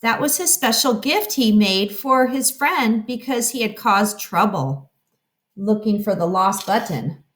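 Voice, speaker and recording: A woman narrating a children's story as a teacher reading aloud, expressive and explanatory as if addressing children. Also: mid-range voice, unhurried.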